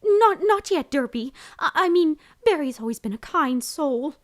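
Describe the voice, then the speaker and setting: A woman's voice: high-pitched, lively intonation, normal speed. A woman voicing an elderly, motherly mare who runs a bakery, fussing over customers and calling them dear. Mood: nervous. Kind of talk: amateur audio drama acting.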